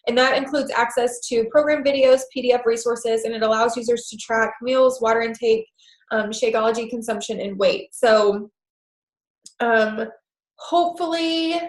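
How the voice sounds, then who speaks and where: A woman's voice: on the high side, normal speed, roomy sound, rough recording. A woman with a stuffed-up head cold, leading a team call in an upbeat, chatty way. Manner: upbeat and enthusiastic with many "super"s and "um"s. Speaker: team leader of a coaching group. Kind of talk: hosting a team conference call with announcements.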